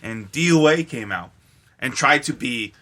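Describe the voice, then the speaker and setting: Very bright, forceful-voiced man joking crudely. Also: mid-range voice, lively intonation, a little slow. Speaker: podcast host. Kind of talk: casual comedic chat.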